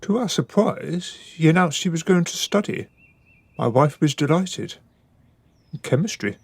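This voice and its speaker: A man's voice: a little high, animated, measured pace, gravelly, husky, no echo, exaggerated voice. An actor playing a proper, wary upper-class father with a formal, reserved manner in a radio drama. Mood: explaining.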